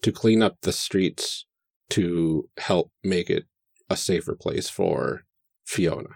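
A woman's voice: rumbling low, slow, creaky, darkish tone, dry recording, clean studio sound. A woman chatting casually as a player in a tabletop roleplaying game, talking through character ideas. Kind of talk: casual chat about character creation.

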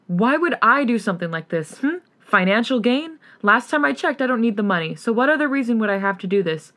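A woman's voice: on the high side, animated, fairly quick, fairly bright tone, voice pushed up. A woman voicing a wounded young man in an audio story, an indignant boyfriend pleading and swearing in exasperation.

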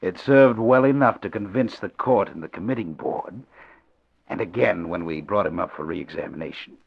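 An actor playing a scheming doctor in a 1940s radio drama, cold and conspiratorial. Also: male voice, low voice, moderate pace, creaky, husky, full character voice. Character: scheming male doctor plotting with accomplice. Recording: dull lo-fi old radio recording.

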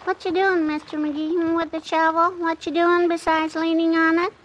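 A woman's voice: extremely high pitch, flat delivery, normal speed, poor audio. A woman playing an inquisitive, chatty little girl who pesters with questions, in old-time radio comedy style. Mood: pestering curiosity.

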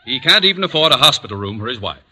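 An actor playing a pompous, scheming middle-aged school principal in an old radio comedy, officious and wordy. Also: male voice, mid-range voice, big pitch swings, very fast, pushed and bright, breathy edge, full character voice. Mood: pleading. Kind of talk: 1940s-50s radio comedy acting. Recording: noisy old radio recording with clicks.

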